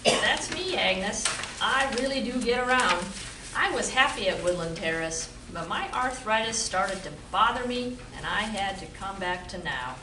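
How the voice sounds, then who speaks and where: A woman's voice: mid-range voice, lively intonation, measured pace, lightly acted. Woman playing a chatty investigator, echoey and noisy. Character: chatty detective's wife and chief investigator. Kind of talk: amateur old-time radio play. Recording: roomy, distant mic, clear background noise.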